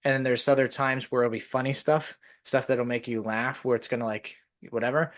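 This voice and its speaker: A man's voice: medium pitch, little pitch movement, fairly quick, a bit bright. A man hosting a podcast. He chats casually and rambles through long stories, with many "like" fillers. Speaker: podcast host. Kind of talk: casual conversation.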